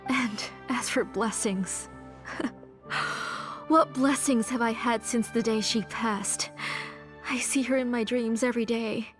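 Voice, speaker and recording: An actress playing a grieving, bitter mother in a video game drama, speaking in a halting, anguished way. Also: a little high, fairly even intonation, soft background music. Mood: anguished grief.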